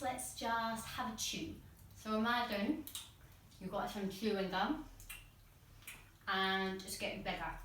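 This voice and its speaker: A woman leading a warm-up as a workshop instructor, guiding step by step in an encouraging way. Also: medium pitch, slight background noise. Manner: encouraging, step-by-step, demonstrates as she talks. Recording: very echoey room, distant mic.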